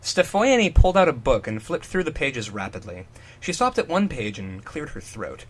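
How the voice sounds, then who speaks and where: A man's voice: mid-range voice, very animated, normal speed, fairly bright tone. A man co-hosting a comic fan fiction reading, breaking off into amused, mocking commentary. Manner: amused, mocking riffs on the text. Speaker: podcast co-host.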